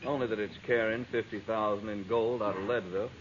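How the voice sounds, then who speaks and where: A man's voice: lowish voice, steady tone, a little fast, lightly acted. Man playing sly drawling saloon loafer. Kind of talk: 1950s radio drama acting. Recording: lo-fi old radio with background noise.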